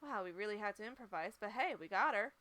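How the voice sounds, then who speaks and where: A woman's voice: medium pitch, animated, fast talker, sharp tone. A woman voicing a cheeky young male thief in a game, with a playful delivery. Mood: cocky.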